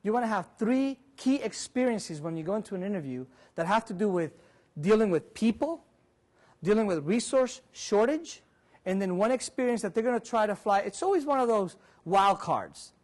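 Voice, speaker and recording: A man in his forties giving an animated career seminar in a large conference room, moving about and quizzing the audience, picked up by a distant camera. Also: high voice, moderate pace. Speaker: seminar speaker. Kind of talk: interactive career seminar talk. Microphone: far camera, room mic.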